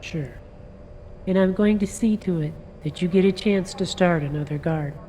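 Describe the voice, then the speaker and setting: An actress playing a patient female police detective who questions a suspect in a calm, coaxing, firm manner in an audio drama. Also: lowish voice, normal speed, slight background noise, close to an everyday voice, rough recording. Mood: reassuring.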